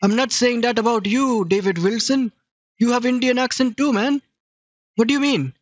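A man's voice: very high voice, normal speed, no room sound, dull audio. A man playing a confused victim on a prank call, speaking broken English in a put-on Indian accent and teasing the caller. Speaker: scam baiter posing as a victim.

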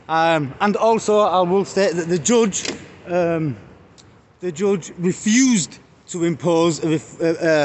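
British man, thirties, high-pitched, walking selfie vlog, outdoor street, faint traffic behind. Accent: British English. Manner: chatty, addresses viewers as guys, mocking jabs. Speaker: street vlogger. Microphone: phone held at arm's length.

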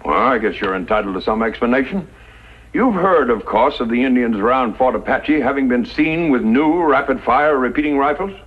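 Husky, commanding army officer. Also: male voice, medium pitch, lively intonation. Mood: grave, commanding. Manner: deliberate, formal, commanding. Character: authoritative army commander, grave and measured. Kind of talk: 1950s radio western acting. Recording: dull, muffled 1950s radio recording.

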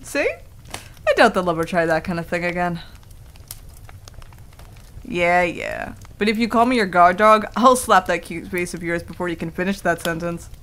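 A woman's voice: fairly low pitch, moderate pace, long pauses, fairly bright tone, a little hiss or hum, lightly acted. A woman playing a stubborn, easily flustered female werewolf who stammers defensively, in an ASMR roleplay. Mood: teasing, flustered. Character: flustered, stubborn tsundere female werewolf, young, secretly affectionate.

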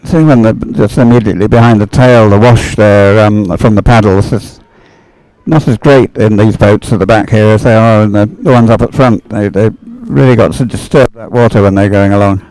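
A man's voice: lowish voice, fairly even intonation, normal speed, a little mellow, no room sound, rough recording. A man giving live sports commentary on a race, observing and thinking aloud with hesitant phrasing.

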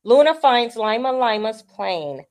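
A woman reading a children's picture book aloud as a storyteller, with expressive delivery. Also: fairly high pitch, unhurried.

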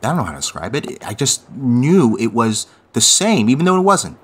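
A man's voice: medium pitch, very animated, normal speed, a bit bright, slightly rough. A man playing an unsettled young man in an audio drama, speaking hesitantly and repeating words as he puzzles something out. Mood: unsettled, searching. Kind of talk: naturalistic audio drama acting.

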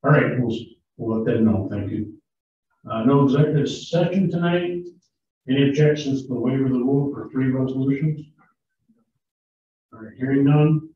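A man chairing a town board meeting, briskly reading resolutions and dollar figures in a matter-of-fact way. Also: medium pitch. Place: large town hall meeting room. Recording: distant echoey hall audio, thin phone-like sound, faint hiss.

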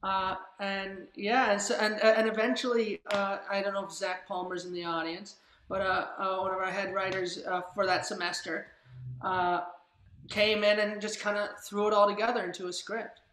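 A woman's voice: mid-range voice, little pitch movement, moderate pace, a bit bright. A young adult woman chatting casually on a video call, rambling and repeating her phrases. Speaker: club writer and performer. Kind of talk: casual chat. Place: indoors on a group video call. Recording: echoey, noisy video call with clicks.

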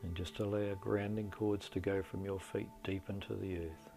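A middle-aged man leading a guided meditation in a slow, deliberate, soothing delivery with long pauses. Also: low-pitched, level tone, vocal fry. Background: soft meditation music.

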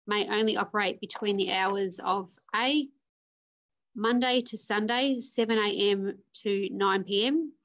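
A woman in her forties with an Australian accent, speaking as a councillor at a formal meeting, measured and careful as she reads out motion wording. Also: mid-range voice, fairly bright tone. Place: home or office room on a video call. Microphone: video call. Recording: dull lo-fi video call audio.

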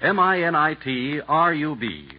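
Lively male announcer on old lo-fi radio. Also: on the high side, unhurried. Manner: lively, hearty, jokey announcer delivery. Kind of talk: 1940s radio announcing and ad reading. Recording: dull lo-fi 1940s radio broadcast with faint hiss.

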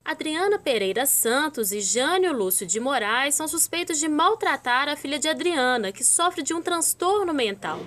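A woman reporter narrating a news package in a measured, scripted voice-over. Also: high voice, expressive, a bit bright.

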